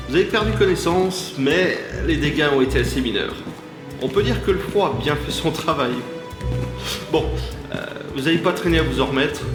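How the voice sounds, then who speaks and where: A man playing a calm, courteous hospital doctor in an audio drama, measured and a little hesitant. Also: medium pitch, close to an everyday voice. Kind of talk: French audio drama acting. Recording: roomy echo, music behind.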